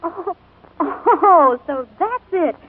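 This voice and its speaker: An actress playing an eager, plucky young American sister, earnest and polite but stubborn, in a 1940s radio drama. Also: female voice, very high voice, expressive, extremely slow, heavily acted. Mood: hopeful, polite. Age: young adult. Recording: tinny, noisy 1940s radio recording.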